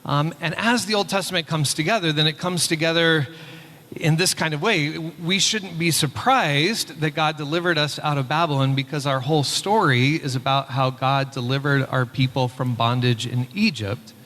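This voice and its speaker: A man, a pastor and church superintendent, presenting a conference paper in a relaxed way with many filler words. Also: a little high, normal speed. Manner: relaxed, speaks with frequent "uh" fillers. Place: conference hall. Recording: long hall reverb.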